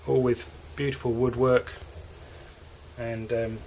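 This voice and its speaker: A man with an English accent walks through the cabins of a moored motor yacht, narrating a sales tour in a relaxed, hesitant way. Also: mid-range voice, takes it slowly, a little hiss or hum, rough recording. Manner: relaxed, hesitant, with fillers and restarts. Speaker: yacht broker. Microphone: handheld camera mic.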